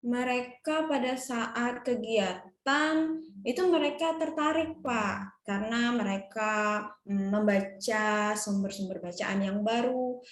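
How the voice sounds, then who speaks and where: A woman's voice: fairly high pitch, slow. A woman who teaches English, speaking politely and deferentially in a coaching conversation. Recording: echoey room.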